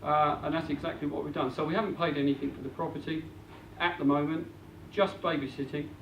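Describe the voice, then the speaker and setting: A man's voice: fairly high pitch, level tone, quick pace, creaky, a bit bright, dull audio. A man presenting at a live seminar, practical and matter-of-fact, with frequent fillers. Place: meeting room with an audience. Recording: echoey room, distant mic, faint hiss.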